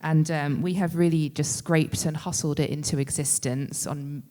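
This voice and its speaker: A woman's voice: deep voice, steady tone, normal speed, dark tone. A woman giving an informal, hesitant acceptance speech as a writer and producer. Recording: reverberant hall.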